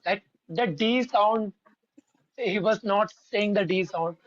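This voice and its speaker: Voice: medium pitch, slow, no room sound, lo-fi sound. A podcast guest with an Indian accent, learning English, who speaks politely, often says "ma'am," and rambles with lots of "like.". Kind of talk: casual conversational podcast.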